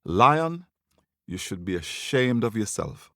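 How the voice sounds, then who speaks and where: A man's voice: fairly low pitch, very animated, slow, bright, forceful tone, no room sound, clean studio sound, full character voice. A man voicing an indignant monkey in a folktale, scolding and spelling things out emphatically. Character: indignant scolding male monkey, rival of the squirrel.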